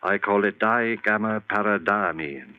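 An actor playing an obsessed, domineering surgeon in an old-time radio horror play, commanding, urgent and sure of himself. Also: male voice, on the low side, measured pace, clean tone, faint music behind, close to an everyday voice. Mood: confident, intent. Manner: commanding, urgent, self-assured. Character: obsessed, domineering male surgeon raising the dead. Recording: dull vintage radio drama recording.

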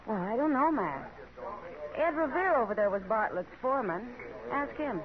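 A woman's voice: on the high side, expressive, normal speed, natural voice. A woman playing a friendly, sociable saloon woman in a radio western, chatting breezily with an American accent. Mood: chatty, informative. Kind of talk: 1950s radio western acting. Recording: muffled old radio, saloon crowd behind.

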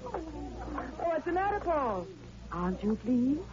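A woman's voice: sits high, sing-song, unhurried, background noise. A haughty, scheming lady columnist in a 1940s radio drama. Her lines swoop up and down with arch, cutting precision. The sound is a muffled vintage broadcast. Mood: arch, sweetly false. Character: sharp-tongued, scheming newspaper woman columnist, haughty and guarded.

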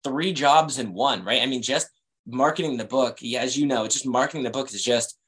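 A man who writes novels chats as a guest on a podcast. He has a mid-range voice and a relaxed, rambling way of talking, full of fillers. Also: mid-range voice, moderate pace, breathy edge. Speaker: author guest. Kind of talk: casual interview chat.

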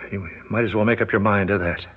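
An actor playing an ailing, indignant American senator held captive in a radio drama, with halting, strained speech. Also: male voice, lowish voice, steady tone, a little hiss or hum, lightly acted. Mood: grim. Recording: dull lo-fi radio recording.

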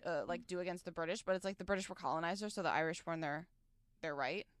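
A woman's voice: fairly low pitch, fast, clear, resonant tone, dry recording, studio quality. A young woman from Chicago with a Chicago accent, co-hosting a casual show recap, blunt and swearing freely. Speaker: podcast co-host.

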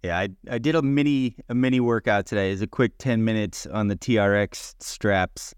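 A man co-hosts a podcast in a casual, conversational way. His voice sits slightly low and sounds clean and smooth, recorded dry and close. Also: moderate pace, dry recording. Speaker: podcast co-host.

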